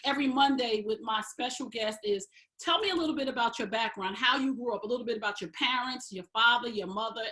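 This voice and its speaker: A woman's voice: fairly high pitch, sharp tone. A woman hosting an interview, welcoming her guest and asking questions in an enthusiastic, exclamatory way. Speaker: host.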